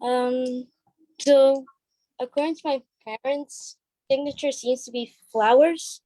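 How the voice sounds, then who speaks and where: High-pitched, mellow-voiced woman chatting. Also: fairly even intonation, slow pace, dry recording, low-quality recording. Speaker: stage play cast member being interviewed. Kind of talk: casual chat.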